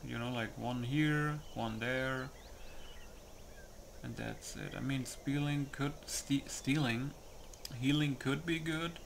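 A man's voice: medium pitch, steady tone, takes it slowly, gravelly, slight background noise. A man giving casual gameplay commentary, thinking aloud and muttering hesitantly to himself. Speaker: gamer hosting a playthrough. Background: game music.

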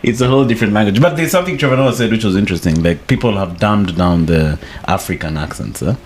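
A man with a Kenyan accent hosting a casual podcast chat in a slangy, animated way, full of catchphrases. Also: on the low side, fast talker. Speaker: podcast host. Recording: clear background noise.

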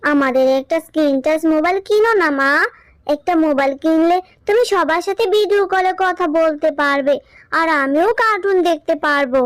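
A woman plays a little cartoon animal girl with a very high, childlike voice. She is whiny and insistent, pleading and sulking in a dry, close recording. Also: normal speed, no echo. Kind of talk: Bengali children's cartoon voice acting.

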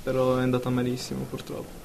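A man's voice: mid-range voice, monotone, fast, vocal fry, noisy background, close to an everyday voice. A man acting an anxious therapy patient terrified of sleep in a radio drama, hesitant and rambling as he complains.

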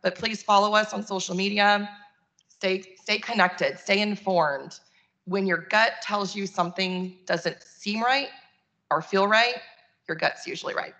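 A woman's voice: medium pitch, measured pace, bright, forceful tone. A woman giving a conversational, earnest keynote talk over a video call. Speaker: keynote speaker, nonprofit chief executive.

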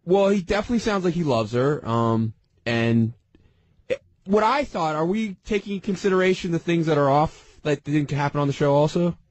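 A man chatting casually as a radio panel regular, halting and searching for his words. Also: a little high, expressive, no echo. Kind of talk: casual chat.

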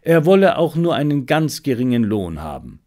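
Animated male audiobook narrator. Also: mid-range voice, normal speed. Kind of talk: scripted narration of a detective story.

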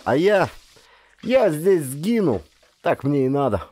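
Man putting on a high-pitched, folksy grumbling sailor cook. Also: sing-song, takes it slowly, dark tone, no room sound, heavily acted. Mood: rueful, animated. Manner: folksy, colloquial, complaining with humor. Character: talkative, simple-hearted ex-sailor cook, self-pitying and humorous. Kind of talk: character dialogue in an audiobook.

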